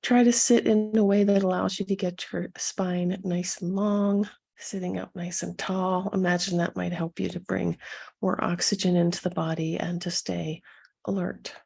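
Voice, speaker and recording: A woman leading a guided meditation as a coach, speaking softly and soothingly to her listeners with a gentle, husky delivery. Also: fairly low pitch, little pitch movement. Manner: soothing, gentle, unhurried instruction with pauses.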